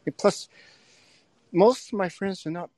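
A man's voice: high-pitched, a little slow, a little mellow, no echo. A man calling into an audio chat to talk about his screenwriting, chatty and enthusiastic with lots of fillers. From United States. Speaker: caller. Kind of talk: casual chat.

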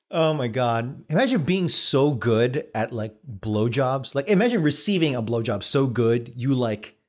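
A man's voice: mid-range voice, lively intonation, unhurried. A man who edits the show, guest co-hosting and trading crude jokes with easy laughter. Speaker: editor and guest co-host. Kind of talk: casual comedic chat.

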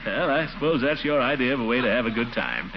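Man playing blustery, wildly animated pompous uncle. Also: mid-range voice, fast talker, lightly acted. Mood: stiffly polite. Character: blustery, pompous, exasperated middle-aged uncle and guardian. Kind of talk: 1940s radio comedy acting. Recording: noisy, dull old radio recording.

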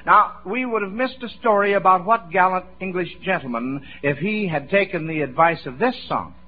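A man's voice: sits high, normal speed. An American man hosting an old-time radio quiz, folksy and encouraging, with approving phrases he tends to repeat. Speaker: quiz show host. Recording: muffled vintage radio broadcast recording.

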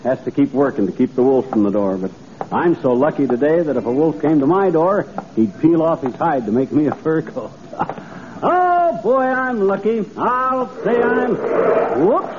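Casting: male actor as a cocky middle-aged small-town braggart for vintage radio comedy; highish, swooping delivery, folksy patter. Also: lively intonation, normal speed, close to an everyday voice. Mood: cheerful, teasing. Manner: folksy, boastful, chuckling banter with slangy nicknames. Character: cocky, cheerfully boastful middle-aged everyman, convinced he is lucky. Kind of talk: 1940s radio comedy acting. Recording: noisy, tinny vintage 1940s radio recording.

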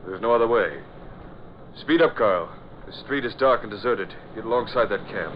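An actor playing a ruthless, coolly decisive Nazi agent with clipped formal speech, in 1940s radio drama style. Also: male voice, fairly low pitch, animated, moderate pace, natural voice. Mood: cold, decisive. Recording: dull old radio, motor noise behind.

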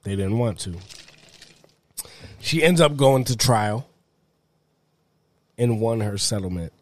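A man co-hosting a podcast who tells stories in animated, quick bursts during casual banter. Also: lowish voice, no echo. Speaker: podcast co-host.

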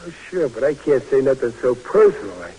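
An actor playing a big, good-natured, bashful young army private, talking in a folksy, stammering way in 1940s radio drama. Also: male voice, medium pitch, expressive, a little fast, dark tone, husky, poor audio. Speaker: soldier.

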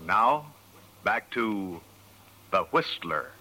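A man's voice: lowish voice, sing-song, drawn-out words, bright, forceful tone, poor audio. A man announcing in polished, formal 1940s radio sponsor style. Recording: vintage radio broadcast.

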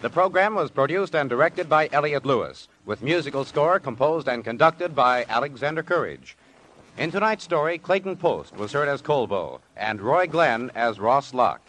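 A man's voice: mid-range voice, poor audio. An American man working as a radio announcer, reading scripted credits and promos in a formal, emphatic old-time style. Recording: vintage radio broadcast over loud music.

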